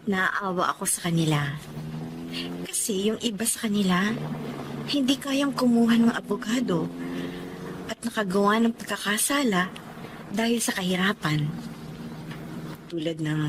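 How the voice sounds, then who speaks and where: An actress playing a soft-hearted, earnest young woman lawyer in a radio drama. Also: mid-range voice, unhurried, pauses often, close to an everyday voice. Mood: sad confiding. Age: young adult. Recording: clear background noise.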